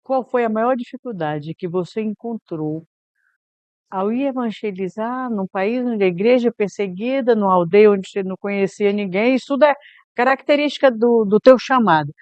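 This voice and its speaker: Woman podcast interviewer, measured questions, clean dry voice. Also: mid-range voice, a little mellow, clean tone, dry recording, highs cut off. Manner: asks long, framed questions. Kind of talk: interview about missions.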